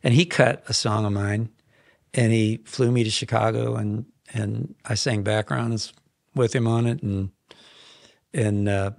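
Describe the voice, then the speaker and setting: A man in his fifties, a songwriter guest in a podcast studio, telling stories in a rambling, animated way with frequent restarts. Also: fairly low pitch, measured pace, creaky, no echo. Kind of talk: casual interview storytelling. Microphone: headphones and boom mic.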